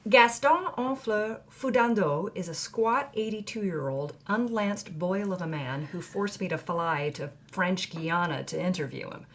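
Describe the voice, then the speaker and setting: An actress playing a devoted granddaughter who hosts and narrates a scripted documentary about a film star. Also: female voice, lowish voice, unhurried, hardly any pauses. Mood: earnest, pointed. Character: devoted granddaughter investigating a film star's past. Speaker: documentary host.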